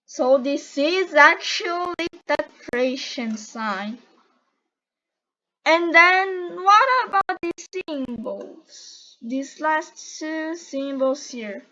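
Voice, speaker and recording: A high-voiced woman from Brazil speaks English as she explains math symbols. She talks slowly and haltingly and keeps correcting herself. The home recording sounds dull and lo-fi. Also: lively intonation, pushed and bright. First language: Portuguese. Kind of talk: unscripted explanation while drawing.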